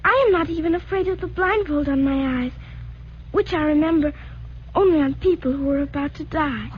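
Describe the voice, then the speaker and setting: A high, earnest little girl voiced by a woman, grateful and timid but brave, with careful and slightly formal speech. The sound is a dull, faintly noisy old radio recording. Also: normal speed, natural voice. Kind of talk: 1940s radio acting.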